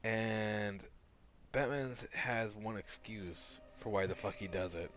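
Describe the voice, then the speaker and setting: A man with a lowish voice rants in loose, casual chat while he plays. He swears in nearly every sentence, stumbles over his words and keeps breaking into laughter. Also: slow, a bit bright, faint music behind. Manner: swears constantly, laughs often, restarts words. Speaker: streamer.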